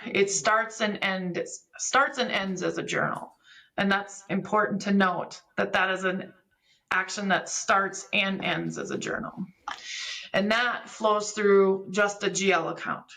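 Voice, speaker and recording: Female expert guest, mid-pitched with a creaky edge, talking through technical processes step by step in a conversational, instructive way. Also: fairly even intonation, measured pace, bright, forceful tone, poor audio. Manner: didactic, step-by-step explaining with examples. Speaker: podcast guest, business software expert.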